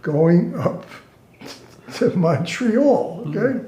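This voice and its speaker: A man's voice: high-pitched, lively intonation, extremely slow, mellow. An older man, a retired air traffic controller, casually telling amused anecdotes and acting out bits of dialogue. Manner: amused, chuckles through own stories, reenacts dialogue. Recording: roomy, echoey sound.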